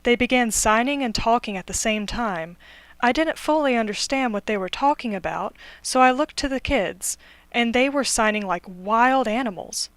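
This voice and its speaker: A woman student interpreter voicing a signer's personal story in steady first-person narration. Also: fairly high pitch, normal speed, no room sound.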